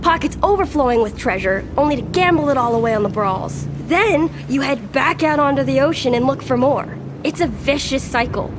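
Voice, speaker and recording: High-voiced, reproachful restaurant woman. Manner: reproachful, earnest. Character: wry, caring woman restaurant worker, scolding pirates. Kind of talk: scripted audio drama acting. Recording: rumbling background noise.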